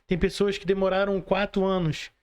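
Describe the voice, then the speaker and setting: A woman's voice: medium pitch, little pitch movement, moderate pace, darkish tone, smooth. Brazilian woman hosting a casual podcast. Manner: repetitive phrasing, rhetorical questions to listeners. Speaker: podcast host, mentor. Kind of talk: casual motivational podcast chat.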